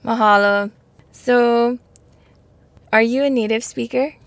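A woman's voice: a little high, steady tone, takes it slowly, dry recording. A woman interviewer asking short, prompting questions in a friendly exchange.

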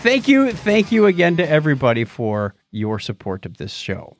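A man's voice: fairly high pitch, wildly swooping pitch, moderate pace, vocal fry, slight background noise. A man hosting a podcast in casual chat, with jokey, informal asides. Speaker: podcast host.

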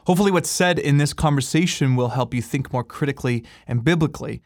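Chatty podcast host. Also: male voice, medium pitch, fast talker, no room sound, clean studio sound. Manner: upbeat, chatty, laughs easily. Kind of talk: casual interview. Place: radio studio.

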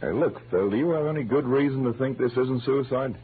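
An actor playing a pragmatic, plain-spoken police lieutenant in an old radio drama, businesslike and familiar. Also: male voice, mid-range voice, brisk, breathy edge. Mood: matter-of-fact. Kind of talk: 1940s radio drama acting. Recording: muffled old radio recording, faint hum.

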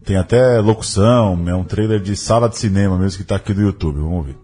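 A man's voice: on the low side, fast, mellow, faint music behind. A Brazilian man hosting a podcast, asking casual interview questions that he rephrases tentatively as he goes.

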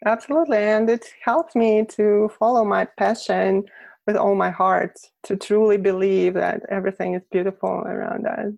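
A woman's voice: mid-range voice, steady tone, normal speed, vocal fry, a little mellow, no echo. A woman from Russia with a Russian accent, a photographer being interviewed, chatting casually and laughing often between phrases. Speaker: guest, boudoir photographer.

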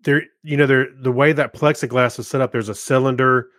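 A man's voice: medium pitch, fairly even intonation, brisk, fairly bright tone, no echo, clean studio sound. A man co-hosting a podcast, chatting casually and telling things in a loose, conversational way. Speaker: podcast co-host. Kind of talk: casual chat.

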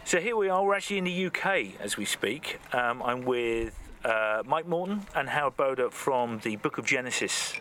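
A man's voice: mid-range voice, lively intonation, normal speed, few pauses, sharp tone, a little hiss or hum. A man hosting an interview, chatty and hesitant with lots of fillers. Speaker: podcast host, interviewer. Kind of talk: casual interview.

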